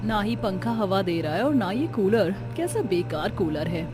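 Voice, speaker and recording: A woman's voice: mid-range voice, animated, moderate pace, over music, lightly acted. A woman voicing a spoiled young city daughter-in-law in a cartoon story, whining and haughty. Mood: irritated.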